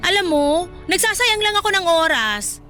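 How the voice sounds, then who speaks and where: A woman's voice: very high-pitched, very animated, normal speed, bright, forceful tone, faint music behind, exaggerated voice. A woman playing a haughty, materialistic young Filipina in a radio drama, sassy, slangy and dismissive, with a Filipino accent. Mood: scornful, irritated.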